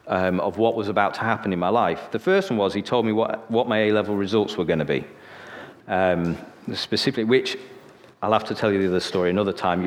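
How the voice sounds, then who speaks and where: A man's voice: on the low side, in a large hall. A man in his forties from Sheffield with a northern English accent, preaching a sermon in a chatty storytelling way, often checking in with "okay?". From Sheffield, northern England. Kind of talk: sermon with personal testimony.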